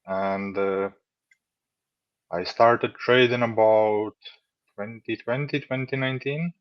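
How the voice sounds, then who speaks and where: A man in his thirties from Latvia, answering interview questions in a relaxed, rambling way with many fillers. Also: medium pitch, slow pace, clear, resonant tone, dry recording. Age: 30s. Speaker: funded trader, guest. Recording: dull dry lo-fi audio.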